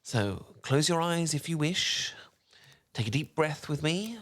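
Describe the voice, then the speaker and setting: A man playing a charming, learned wizard who tells a bedtime story in a soft, velvety, theatrical and slightly pompous voice, in a soothing fan roleplay. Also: a little high, expressive. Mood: gentle, playful. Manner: theatrical, eloquent, unhurried, gently pompous.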